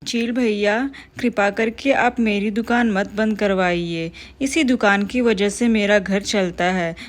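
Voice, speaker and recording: A woman voicing a poor, kind mother bird who pleads softly and gently. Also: medium pitch, steady tone, slow pace, natural voice. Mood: pleading. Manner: pleading, soft.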